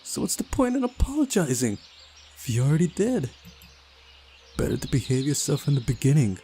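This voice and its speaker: A man voicing a guarded, resentful young woman who is quick to anger, in a visual novel reading. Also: a little high, sing-song, mellow, soft background music, pitched above the performer's own voice. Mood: bitter.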